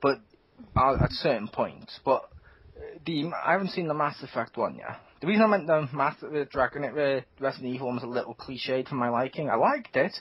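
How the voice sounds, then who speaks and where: A man co-hosting a casual podcast about video games, talking in an animated way with frequent laughs and quick restarts. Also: medium pitch, husky, no room sound, muffled recording. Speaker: podcast co-host. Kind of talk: casual gaming chat.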